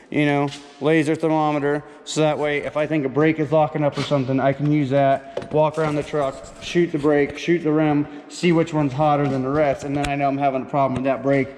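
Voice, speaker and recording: Trucker guy doing a chatty walk-through, kinda high voice, slangy, echoey handheld camera sound. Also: a little mellow. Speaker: truck driver vlogger showing his gear. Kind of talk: casual walk-through vlog, chatty and informal. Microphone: handheld camera on small tripod, no foam cover. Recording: reverberant, noisy handheld camera audio with handling clicks.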